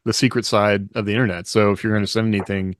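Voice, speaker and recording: A man with a fairly low voice chats casually as a podcast co-host. The sound is very dry, free of any room echo. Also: steady tone, quick pace. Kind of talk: casual chat.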